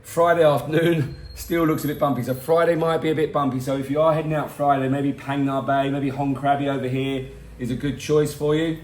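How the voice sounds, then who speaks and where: A middle-aged man with a hoarse voice that is going, giving a casual, rambling weather forecast from home with self-mocking asides. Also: fairly high pitch, level tone, a little fast, a little mellow, echoey room, slight background noise. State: hoarse, losing his voice. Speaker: weather forecast host for a boat tour company. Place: home, in front of a garden.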